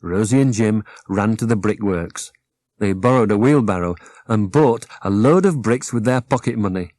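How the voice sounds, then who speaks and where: A man's voice: fairly low pitch, normal speed, darkish tone, dry recording. A man narrating a children's audiobook from a script, expressively, as a storyteller who acts out the dialogue. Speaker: storyteller, author reading own book.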